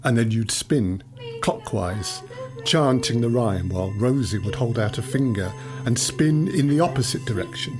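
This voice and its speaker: An actor playing a menacing supernatural creature in an audio drama, speaking in a measured, mocking, theatrical way. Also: male voice, medium pitch, expressive, close to an everyday voice. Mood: sinister, calm. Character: menacing male supernatural child-taking creature, calmly gloating. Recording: music under the voice.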